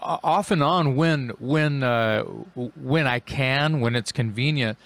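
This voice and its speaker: A man hosting a casual interview, telling quick, animated stories about playing live. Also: medium pitch, no echo. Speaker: interview host, singer.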